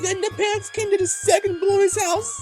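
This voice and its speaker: A woman's voice: very high voice, steady tone, normal speed, darkish tone, soft background music. A woman voicing a naive boy who reads a comic aloud in a simple, slangy, childlike way. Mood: earnest, ominous. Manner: simple, slangy, ungrammatical phrasing. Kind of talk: childlike read-aloud storytelling.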